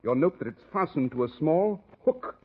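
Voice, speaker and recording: Tinny 1940s radio drama, shrewd detective, husky, urbane, precise, dryly witty, lively intonation. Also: male voice, on the high side, normal speed. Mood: keen, inquisitive. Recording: thin, band-limited 1940s radio recording.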